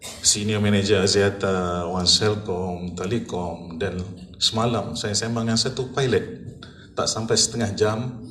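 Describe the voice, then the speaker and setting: A man's voice: on the low side, fairly even intonation, a little slow, fairly bright tone. Malaysian man in his fifties, echoey room. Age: 50s. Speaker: seller sharing a testimonial. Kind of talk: informal persuasive talk, switching into English. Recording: echoey room, faint noise.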